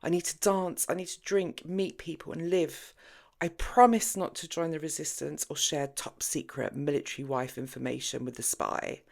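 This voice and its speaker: A woman's voice: low voice, fairly even intonation, normal speed, vocal fry, darkish tone. A theatrical, defiant mother acted out by a woman reading an audiobook. She is sarcastic and dramatic, stressing her words with mocking emphasis. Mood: pleading, defiant.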